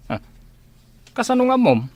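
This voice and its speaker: A man's voice: very high-pitched, big pitch swings, a little slow, darkish tone, no room sound, exaggerated voice. An actor playing a curious, questioning young man in an audio drama. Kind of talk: Ilocano audio drama acting.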